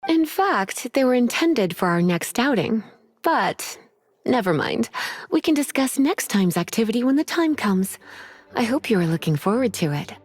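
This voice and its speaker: A woman voicing a languid, teasing adult librarian mage in a video game, speaking in a slow, drawling way. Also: a little high, sing-song, husky, dry recording. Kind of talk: game voice acting. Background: faint game music.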